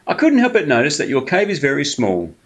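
A cunning storybook fox, read aloud by a man, pours on the flattery in a wheedling sales patter. The voice sits a little higher than the reader's own and keeps a gravelly edge. He sounds eager to close the deal. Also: brisk, heavily acted. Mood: coaxing. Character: sneaky, cunning male fox, smooth-talking persuader.

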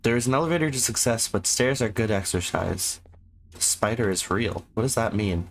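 Hoarse low-voiced gaming commentator. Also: male voice, moderate pace, slight background noise. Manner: rambling and sarcastic, reads game text aloud. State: sick, losing his voice. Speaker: gameplay commentator. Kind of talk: casual let's-play commentary.

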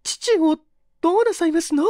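A man's voice: very high voice, normal speed, a little mellow, no echo, clean studio sound, pitched above the performer's own voice. A man voicing a frightened, well-bred young woman, a professor's daughter, with trembling, polite feminine speech.

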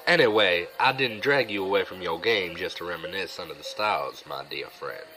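An actor playing a sly, regretful gambler and old friend, wry and confiding, in an audio drama. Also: male voice, deep voice, normal speed, pushed and bright. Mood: wry, friendly. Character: sly, regretful gambler, smooth-talking old buddy, man.

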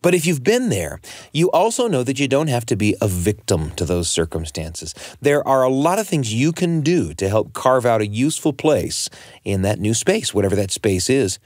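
American guy hosting a story show, really animated pitch, chatty and emphatic, dry close sound. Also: moderate pace, no echo. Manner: emphatic, addresses listener with rhetorical questions. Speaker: radio show host. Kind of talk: storytelling radio introduction, conversational narration.

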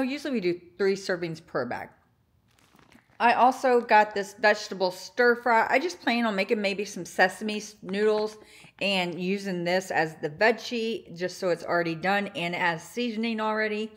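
A young woman talks through her grocery haul from her kitchen counter, chatty and upbeat. Her voice sits at a middle pitch and keeps an easy, conversational flow, with plenty of filler words along the way. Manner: chatty, enthusiastic, lots of fillers like "like" and "um". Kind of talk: casual grocery haul narration.

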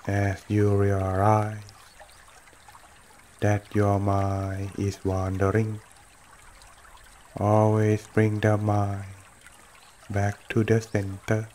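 A man with a lowish voice guides a meditation at an extremely slow pace. His delivery is almost completely flat and gentle, in short phrases separated by long pauses. Water trickles softly behind him. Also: a little hiss or hum. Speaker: meditation teacher. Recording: running water throughout. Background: trickling stream water.

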